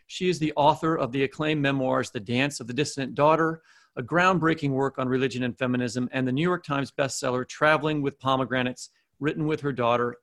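A man's voice: mid-range voice, a bit bright. A man co-hosting a podcast, giving introductions and putting questions to a guest. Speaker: podcast co-host.

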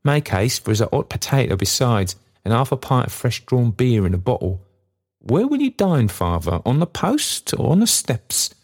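A man putting on an eager, high-lifted young daughter. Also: very animated, normal speed, husky. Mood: cheerful, eager. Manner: eager, bright, affectionate chatter. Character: cheerful, doting young working-class daughter. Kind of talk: storybook character dialogue.

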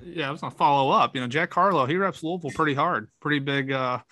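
A man's voice: on the high side, quick pace, pushed and bright. A man interviewing a guest, asking chatty, hesitant questions full of fillers.

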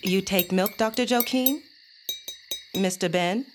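A woman's voice: medium pitch, unhurried, background noise, lightly acted. A woman playing a gracious, hospitable Southern housewife in an audio drama. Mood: welcoming.

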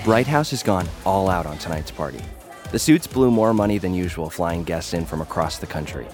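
Deep-voiced young streetwise fighter. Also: male voice, animated, a little fast, music in the background. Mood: wry, self-assured. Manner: wry, slangy, vivid storytelling. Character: young streetwise male online fighter-influencer narrating his own story. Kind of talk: first-person audio drama narration.

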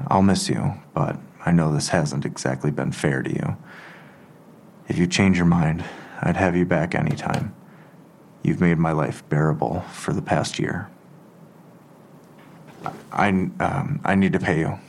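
An actor playing a weary, apologetic police detective and gentle father, speaking haltingly and sincerely in an audio drama. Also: low voice, gravelly, full character voice. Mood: regretful, grateful.

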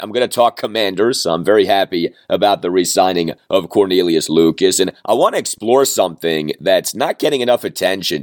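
A man hosts a sports podcast with a hoarse, worn-down voice from a cold. His voice sits a bit low, and he talks plainly with a wry, self-deprecating streak. The sound is dry and close, with no room around it. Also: dry recording. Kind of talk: solo sports podcast talk.

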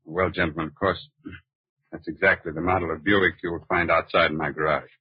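An actor playing a remorseful, injured man confessing in a halting, resigned, deferential way, in a 1940s radio drama. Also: low voice, fairly even intonation, slightly rough, no room sound. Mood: resigned, meek. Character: remorseful, injured male hit-and-run driver confessing. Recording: muffled old radio broadcast recording.

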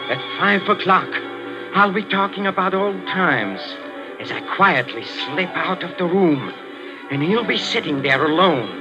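An actor playing a soft-spoken, scheming maestro plotting a murder, in 1940s radio acting. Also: male voice, fairly high pitch. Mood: gloating. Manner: soft, insinuating, slowly building to gloating menace. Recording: muffled 1940s radio recording with music.

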